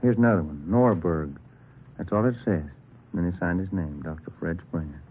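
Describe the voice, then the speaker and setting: Low-key American detective partner played by a man, muffled. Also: brisk, a little hiss or hum, natural voice. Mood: puzzled. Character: plainspoken, steady male police detective partner. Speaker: supporting actor. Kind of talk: 1950s radio crime drama acting. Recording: muffled old radio broadcast, faint music.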